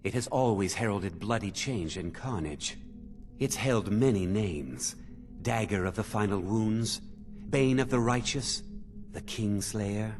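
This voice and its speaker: A man's voice: on the low side, measured pace, darkish tone, soft background music, close to an everyday voice. An actor playing an eager, ominous museum keeper in a video game, telling his lore in a dramatic, theatrical way. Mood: ominous, reverent. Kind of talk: scripted video game dialogue.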